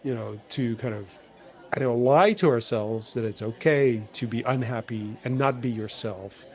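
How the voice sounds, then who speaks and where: A man co-hosting a podcast in casual chat, rambling and animated, restarting his sentences and laughing. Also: mid-range voice, moderate pace. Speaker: podcast co-host.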